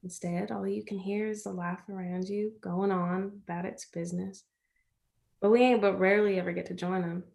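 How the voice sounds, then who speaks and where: An American woman playing a gentle, chatty rural girl in a script reading, with folksy phrasing and a playful, comforting manner. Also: mid-range voice, level tone, normal speed, gravelly, natural voice. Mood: soothing.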